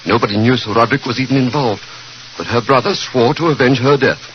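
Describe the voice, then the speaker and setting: An actor playing a fearful, deferential butler in old radio drama style, stammering and pleading. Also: male voice, medium pitch, quick pace, lightly acted. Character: frightened, deferential male butler pleading for help. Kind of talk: 1940s radio drama acting. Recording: dull, noisy vintage radio recording.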